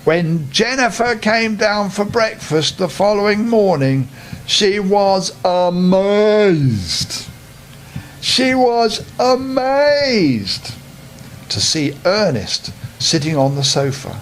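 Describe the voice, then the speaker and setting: An older man narrates a children's bedtime story in a rather high voice. His intonation is lively and expressive, and he talks straight to the young listeners. Some noise runs in the background. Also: slow.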